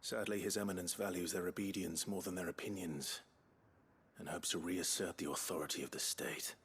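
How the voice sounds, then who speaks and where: An actor playing a grave, formal fantasy hero in a video game, speaking in a measured, understated way. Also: male voice, sits low, fairly even intonation, fairly bright tone, breathy edge, exaggerated voice. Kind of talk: scripted game dialogue.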